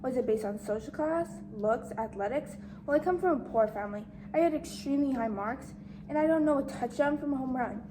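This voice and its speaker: A woman's voice: high voice, fairly quick, noisy background, natural voice. A woman playing a shy, insecure, bookish teenage outcast in an amateur dramatic monologue. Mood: wry, frustrated.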